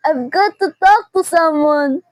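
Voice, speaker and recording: Young woman acting a high-voiced, frantic, imperious wife. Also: a little slow, no room sound, low-quality recording. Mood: desperate, pleading. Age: teenager. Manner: indignant, haranguing, repeats phrases. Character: frantic, imperious bedridden wife, nervous and demanding. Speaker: student performer. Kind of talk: amateur radio drama acting.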